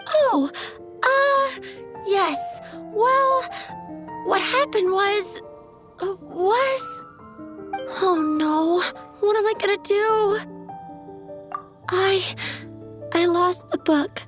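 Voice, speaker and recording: Casting: anxious young shop girl in a game, extremely high voice, hesitant and flustered, music behind. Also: extremely slow, long pauses, full character voice. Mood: panicked, tearful. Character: timid, flustered young flower-seller woman, anxious. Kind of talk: anime-style video game voice acting.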